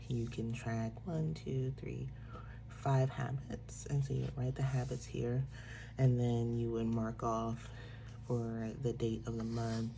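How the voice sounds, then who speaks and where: A woman with a gravelly, creaky voice, a little stuffed up and nasal from congestion. She walks through planner pages in a relaxed, chatty way, dropping frequent ums. A clear hiss of background noise sits under the recording. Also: a bass voice. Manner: relaxed explaining with frequent fillers. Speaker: planner shop owner. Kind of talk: casual product walkthrough.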